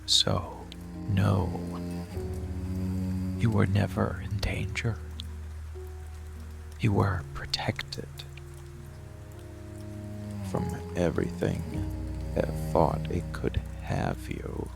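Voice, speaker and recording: A man playing a possessive, protective monster lover in dark boyfriend ASMR, hushed and gravelly, often slipping into whispers. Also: deep voice, sing-song, full character voice. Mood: possessive, menacing. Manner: hushed, breathy, slow soothing menace, often whispering. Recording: music and rain bed behind voice. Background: music, rain sounds.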